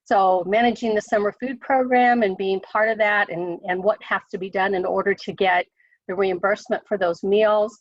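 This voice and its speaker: A woman official with an American accent, hoarse from a cold, briefing on a conference call, halting with many ums and restarts. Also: mid-range voice, fairly even intonation, dry recording. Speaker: state education official. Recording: dry muffled call audio.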